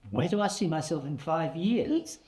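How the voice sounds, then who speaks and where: A man acting a bemused, hesitant job candidate in a comic play, halting, sceptical and understated. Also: on the high side, animated, darkish tone, natural voice. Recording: echoey room sound.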